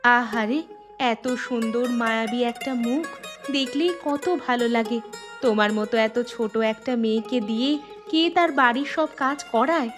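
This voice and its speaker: A kindly village woman, played by a woman in a cartoon folktale. Her voice sits on the high side and is gentle in temper. Light music plays behind it. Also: normal speed, lightly acted. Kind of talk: cartoon fairy-tale voice acting.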